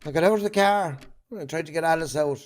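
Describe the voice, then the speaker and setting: Defensive Irish farming man, higher-pitched voice acted. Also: close to an everyday voice. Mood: halting, remorseful. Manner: defensive, halting. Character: defensive, guilt-ridden Irish farming man confessing under questioning. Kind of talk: amateur recorded stage drama acting.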